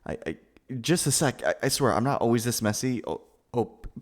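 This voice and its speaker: A young man acting as a nervous, gentle, geeky roommate in an ASMR roleplay, stammering and rambling in a flustered, self-deprecating way. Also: mid-range voice, lively intonation, a little fast, lightly acted. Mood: flustered, apologetic.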